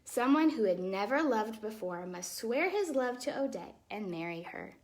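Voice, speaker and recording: A woman hosting storytime, reading a fairytale aloud to children expressively, with casual explanatory asides in a softer, chatty manner. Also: a little high, very animated, normal speed. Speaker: storytime host and ballet dancer.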